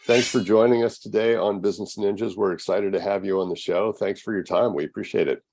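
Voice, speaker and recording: A man's voice: on the low side, fairly even intonation, fairly quick, a little mellow, a little hiss or hum. A man hosting a business podcast interview, easygoing with upbeat interjections. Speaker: podcast host.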